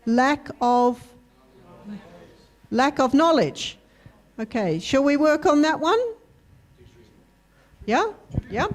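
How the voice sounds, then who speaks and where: A woman's voice: high-pitched, expressive. A woman co-leading a workshop, going through steps one by one and prompting the group with questions. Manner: slow, step-by-step, prompting the group. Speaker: workshop co-facilitator. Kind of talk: interactive workshop teaching. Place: university hall workshop.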